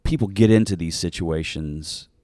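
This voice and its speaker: Deep-voiced male podcast host. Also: normal speed, warm tone, no echo, studio quality. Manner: casual, guiding, prompting the guest. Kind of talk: interview questions, conversational.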